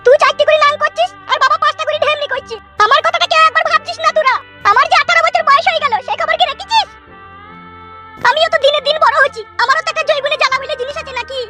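Performer as sharp-tongued village girl of eighteen, bright raised-pitch voice, rural West Bengal accent, exasperated. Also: racing, soft background music. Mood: fed up, accusing. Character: sharp-tongued, fed-up eighteen-year-old village daughter. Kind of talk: comedy cartoon dialogue.

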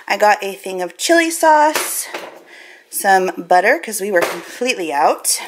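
A woman's voice: medium pitch, big pitch swings, unhurried. Chatty American woman, noisy home recording. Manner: chatty, informal, rambling. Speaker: vlogger. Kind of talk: casual grocery haul vlog chat. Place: home kitchen, indoors. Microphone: camera mic, handheld at arm's length.

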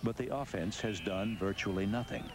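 A man's voice: lowish voice, moderate pace, heavy background noise. A man reading scripted narration for a sports documentary. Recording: loud music behind the voice. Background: music.

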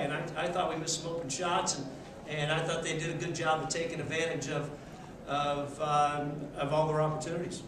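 A middle-aged man, a basketball coach, answering reporters after a game at a press table, steady and measured, often repeating words as he thinks. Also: on the high side. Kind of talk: postgame press conference. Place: press conference room, seated at table. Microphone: table mic. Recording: echoey room, mic from a distance, noisy.

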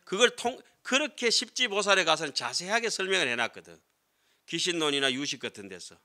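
Very bright-voiced middle-aged monk lecturing. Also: male voice, fairly high pitch, sing-song, brisk. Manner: conversational, explanatory, frequent tag questions to listeners. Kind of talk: classroom lecture on Buddhist scripture. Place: lecture room with seated audience, indoors. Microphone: desk gooseneck microphones.

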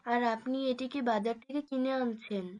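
A woman's voice: a little high, fairly even intonation, moderate pace, darkish tone, lightly acted. A woman playing a sweet, obedient young daughter in a moral cartoon, speaking politely and devoutly. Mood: calm, sincere. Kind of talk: Islamic moral cartoon voice acting.

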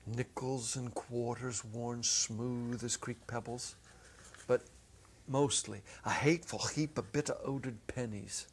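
A middle-aged man narrating a story dramatically from a script, with deliberate phrasing and pauses. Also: mid-range voice, fairly even intonation, slightly rough. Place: small indoor stage set. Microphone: clip-on lapel mic.

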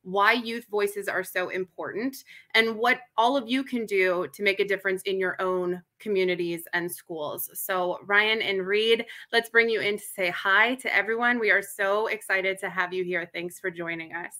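A woman in her thirties hosts an online classroom session with a crisp, bright voice that cuts through. She welcomes guests and classes in a friendly, clear way. Her webcam picks her up in a small room at home. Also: medium pitch, steady tone, moderate pace, few pauses. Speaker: host. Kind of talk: upbeat webinar hosting. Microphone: webcam on a video call.

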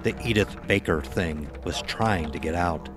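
A man's voice: low voice, moderate pace, music in the background. A man narrating a scripted horror audio drama as its storyteller.

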